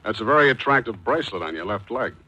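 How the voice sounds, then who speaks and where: An actor playing a wisecracking, brusque private detective, with clipped, cynical delivery and first-person narration in 1940s radio style. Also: male voice, on the low side, lively intonation, quick pace, bright, forceful tone. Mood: dry, probing. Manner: clipped, terse questioning. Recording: 1940s radio drama with music.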